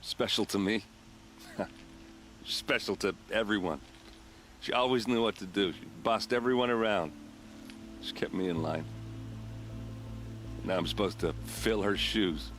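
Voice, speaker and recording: A man's voice: on the low side, unhurried, pauses often, fairly bright tone, slight background noise. A man voicing a grieving, hard-drinking captain of the guard in a video game. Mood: bitter grief. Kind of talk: cinematic video game acting.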